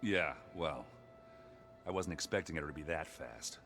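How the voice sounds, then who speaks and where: An actor playing a good-natured, ale-loving rogue in a video game, bluff and wry, with a hesitant, rambling way of talking. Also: male voice, extremely low pitch, expressive, a little slow, vocal fry, over music, full character voice. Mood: wry, aggrieved.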